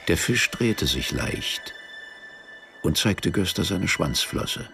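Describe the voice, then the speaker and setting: An actor as the sober, detached storyteller of a German radio play. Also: male voice, low-pitched, very animated, slow pace, vocal fry, pushed and bright, faint music behind, exaggerated voice. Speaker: narrator. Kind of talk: scripted radio play narration.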